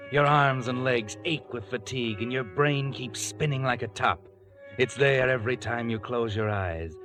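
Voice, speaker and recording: A sly, needling narrator acted by a man from a vintage radio thriller. His mid-range voice addresses the listener like a guilty conscience. Music plays clearly behind him. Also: mid-range voice, normal speed, close to an everyday voice. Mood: ominous. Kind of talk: 1940s radio thriller narration in second person. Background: dramatic music.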